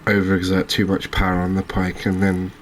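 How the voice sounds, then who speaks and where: A man giving soft-spoken ASMR commentary as he plays a video game, in a measured, gentle, chatty manner. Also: deep voice, monotone, slight background noise. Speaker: host playing a fishing video game.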